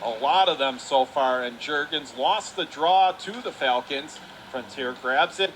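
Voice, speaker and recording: A man's voice: medium pitch, a little slow, a bit bright. A man calls live ice hockey play-by-play in urgent, clipped phrases. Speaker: radio play-by-play hockey announcer. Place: indoor ice hockey arena. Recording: clearly noisy live rink recording.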